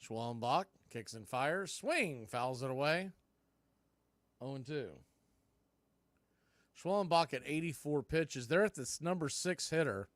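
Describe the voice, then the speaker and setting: A man calling live baseball play-by-play in punchy short phrases, getting excited on big plays. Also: fairly high pitch, unhurried, long pauses, no room sound.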